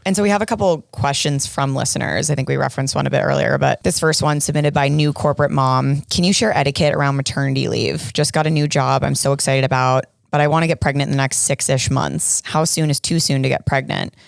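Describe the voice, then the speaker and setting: A man's voice: mid-range voice, fairly even intonation, quick pace, vocal fry, hardly any pauses, no room sound, clean studio sound. A young man co-hosting a podcast in a casual, conversational and emphatic way. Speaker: podcast co-host.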